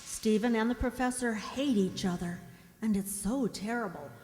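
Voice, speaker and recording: A woman acting a timid, gentle young woman's inner voice in a radio drama, fearful and wistful. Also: a little high, moderate pace, natural voice. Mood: anxious, hushed. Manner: breathless gasps, wistful, fearful. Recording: echoey hall, long reverb.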